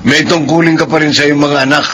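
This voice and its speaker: Radio drama role: stern, betrayed Filipino husband, highish and sharp-edged, faint noise. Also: male voice, steady tone, fast. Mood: reproachful.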